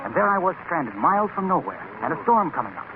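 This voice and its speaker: A genial, polished announcer spins his words like a storyteller with something to sell. His voice is fairly high, on a tinny, noisy vintage radio recording. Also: very animated, quick pace. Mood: storytelling. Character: genial announcer spinning a tale into a sales pitch.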